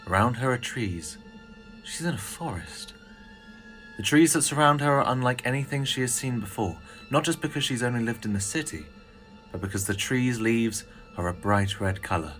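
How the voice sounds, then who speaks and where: A man's voice: medium pitch, animated, normal speed, fairly bright tone, faint music behind. A man reading scripted narration for an audio drama.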